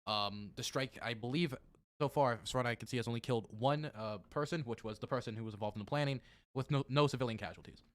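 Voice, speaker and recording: A man giving a casual news update during a roundtable, measured and hedging with some fillers. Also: medium pitch. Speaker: roundtable moderator.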